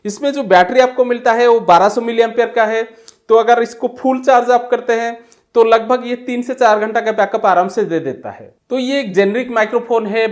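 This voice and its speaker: A man giving a product demonstration and review, explaining plainly step by step. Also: sits high.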